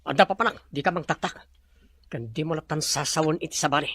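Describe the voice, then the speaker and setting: A man's voice: a little high, fast talker, a bit bright, husky, dry recording. A man with an Ilocano accent playing a ruthless, menacing rich boss in a radio drama. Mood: impatient. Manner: menacing, domineering, laughing darkly. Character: ruthless wealthy male boss plotting a kidnapping. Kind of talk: Filipino radio drama acting.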